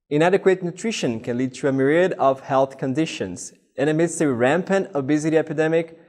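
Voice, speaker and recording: A man in his thirties from Brazil, a master's student giving a steady, scripted presentation full of statistics. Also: medium pitch. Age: 30s.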